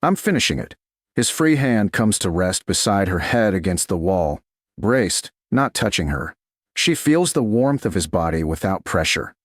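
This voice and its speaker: A man reading scripted romance fiction as an audiobook narrator, in measured, dramatic short phrases. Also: low-pitched, expressive, no echo, clean studio sound.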